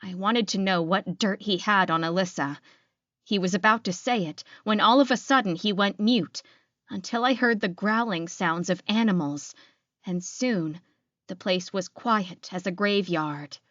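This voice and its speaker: A woman's voice: medium pitch, unhurried, fairly bright tone, no echo, dull audio. Woman narrating fiction, very dry sound. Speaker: audiobook narrator. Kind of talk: scripted first-person fiction narration.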